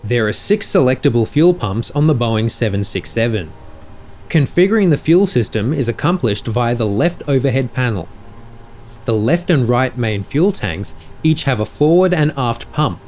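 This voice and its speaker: A man reading a scripted explanatory narration in a measured, precise, instructional way. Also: medium pitch, expressive, darkish tone, a little hiss or hum. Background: music.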